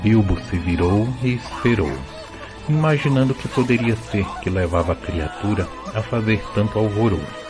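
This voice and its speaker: A man with a Brazilian accent narrates a fantasy audio drama as a storyteller. His voice is fairly low, and soft music runs behind the reading. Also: moderate pace.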